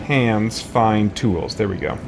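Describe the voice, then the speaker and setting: A man's voice: lowish voice, slow, vocal fry. A man giving casual, rambling gameplay commentary with exasperated exclamations. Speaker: let's play host. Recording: noisy background from game audio.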